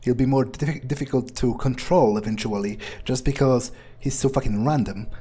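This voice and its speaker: A man's voice: medium pitch, moderate pace, warm tone, breathy edge. A man thinking aloud in character as he plays a video game, blunt, profane and musing. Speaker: video game player role-playing a character. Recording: game music under the voice. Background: game music.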